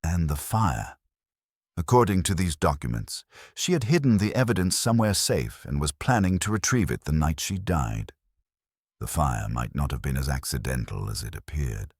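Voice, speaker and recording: A man's voice: extremely low pitch, very animated, normal speed, slightly rough, no echo, clean studio sound, full character voice. A man voicing a businesslike police sergeant who reports in a matter-of-fact way in a detective story. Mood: grave reporting.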